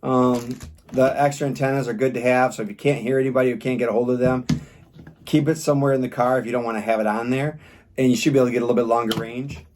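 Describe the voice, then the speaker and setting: A man's voice: mid-range voice, steady tone, quick pace, a little mellow. A man giving a casual, unscripted product review in a plain-spoken, rambling, informal way. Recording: clear background noise with clicks.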